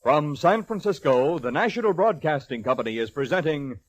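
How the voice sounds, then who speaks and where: A man's voice: fairly high pitch, expressive, moderate pace, faint music behind. An American man announcing a 1940s network radio program in period style.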